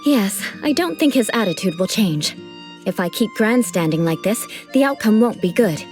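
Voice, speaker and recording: A woman's voice: medium pitch, animated, normal speed, faint music behind, close to an everyday voice. An actress playing a proud young female knight of an aristocratic clan, who speaks in a formal, aristocratic manner. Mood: composed.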